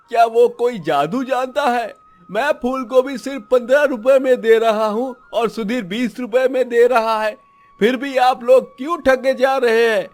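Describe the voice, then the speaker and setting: A man acts out a jealous cartoon shopkeeper with a strikingly high voice. He grumbles bitterly and schemes like someone wronged. Soft music plays underneath. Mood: aggrieved. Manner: grumbling, aggrieved. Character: bitter, scheming, envious male vegetable seller. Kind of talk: cartoon story acting.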